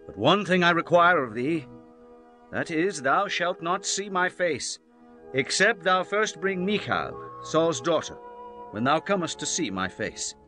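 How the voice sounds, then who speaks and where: Man acting a high, forceful biblical king. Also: animated, unhurried. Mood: commanding. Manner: solemn, impassioned, archaic declamation. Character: King David, grave, grieving, commanding biblical king. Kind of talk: dramatized scripture, declamatory radio-play acting. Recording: music bed behind the voice. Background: instrumental music.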